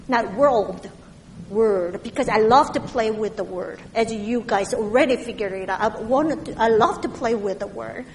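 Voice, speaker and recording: A woman from Korea with a heavy Korean accent, preaching a sermon in a halting way, with many fillers and restarts. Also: on the high side, big-room sound, a little hiss or hum, poor audio.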